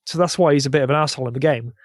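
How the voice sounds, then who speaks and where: A man's voice: medium pitch, very fast, dry recording, studio quality. A man speaking as a podcast guest in an eager, rambling, conversational fan style. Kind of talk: casual chat.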